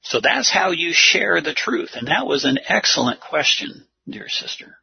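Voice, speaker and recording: A man preaching and teaching a Bible lesson, speaking in deliberate, earnest short phrases with a husky, gravelly voice. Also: on the high side, animated, no echo. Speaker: preacher leading a church lesson. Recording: muffled lo-fi audio.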